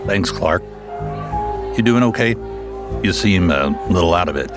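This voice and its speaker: A man playing a brooding middle-aged billionaire and retired vigilante in an audio drama, speaking gravely and confidingly. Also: sits low, expressive, measured pace, over music. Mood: concerned, friendly.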